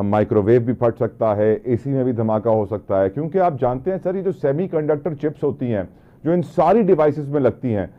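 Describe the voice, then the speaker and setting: Pakistani guy hosting a news talk show, normal pitch, long respectful questions, thin band-limited sound. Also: narrow, tinny audio. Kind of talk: current affairs presenting and interviewing.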